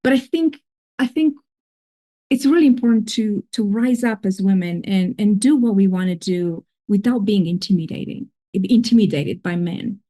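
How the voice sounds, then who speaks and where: A woman with a foreign accent speaks as a podcast guest, earnest and reflective as she tells her story. Her tone is mellow and dark rather than bright, at a mid pitch for a woman. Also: moderate pace. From grew up in another country. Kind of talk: casual interview talk.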